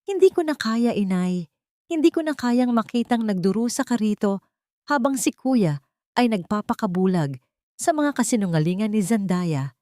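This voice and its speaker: A woman's voice: medium pitch, lively intonation, moderate pace, mellow, no echo, studio quality, pitched above the performer's own voice, lightly acted. A woman voicing a distraught, loyal young daughter in a drama story, tearful and pleading. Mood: desperate.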